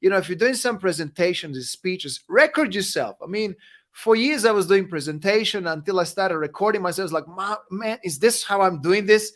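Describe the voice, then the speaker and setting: Emphatic high-voiced man, Serbian native. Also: brisk. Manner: energetic, emphatic, repeats "right?" and "you know what?". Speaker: guest speaker and coach. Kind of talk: interview answers, motivational.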